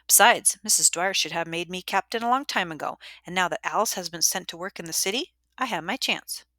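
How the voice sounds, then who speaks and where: A woman voicing a pompous, scheming schoolgirl in a shrill, emphatic storybook voice. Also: brisk, sharp tone, dry recording. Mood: defiant, confident.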